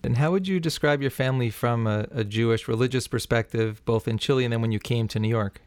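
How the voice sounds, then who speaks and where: A man's voice: medium pitch, fast talker, no echo. A man hosting an interview podcast, opening with a polished introduction and then asking conversational questions. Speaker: podcast host.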